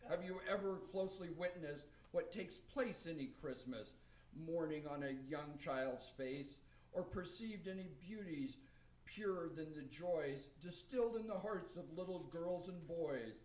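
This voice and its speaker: A man's voice: fairly high pitch, expressive, measured pace, darkish tone, recorded from a distance. Kindly, earnest Father Christmas figure, played by a man, reciting radio-play verse in a roomy echo, off mic. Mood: earnest, lyrical. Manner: declaiming rhymed verse, earnest. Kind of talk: amateur radio play acting.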